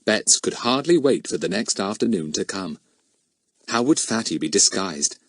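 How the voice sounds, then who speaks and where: A man narrating a children's story from a script in an expressive, animated way. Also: lowish voice, normal speed, no echo. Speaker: audiobook narrator.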